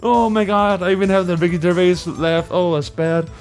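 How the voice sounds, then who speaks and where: A man's voice: sits high, steady tone, normal speed, faint music behind. A man doing a comic, improvised dramatic reading with giggly, profane asides. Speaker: amateur voice-over reader.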